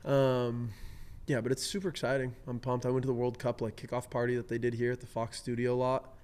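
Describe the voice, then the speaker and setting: A young man with a mid-pitched voice chats as an athlete guest. He sounds laid-back and informal, using plenty of easy slang. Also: monotone, fairly quick, a little mellow, clean tone. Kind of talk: casual chat.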